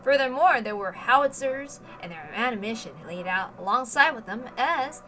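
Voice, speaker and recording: A woman's voice: fairly high pitch, animated, normal speed, sharp tone, slight background noise. A woman reading a story aloud as dramatic scripted narration, with emphatic, theatrical delivery. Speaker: reader of a visual novel.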